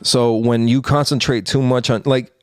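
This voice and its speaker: A man's voice: medium pitch, fairly even intonation, moderate pace, smooth, no echo, studio quality. A man in his thirties co-hosting a podcast, giving his opinions in a rambling, earnest way with many fillers and restarts. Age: 30s. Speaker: podcast co-host. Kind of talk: casual opinion chat.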